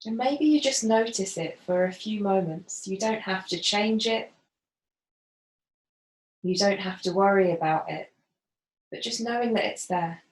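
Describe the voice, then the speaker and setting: A woman gently guiding a body scan as a yoga facilitator, unhurried and leaving pauses. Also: mid-range voice. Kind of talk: guided body scan meditation instruction. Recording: roomy, echoing voice.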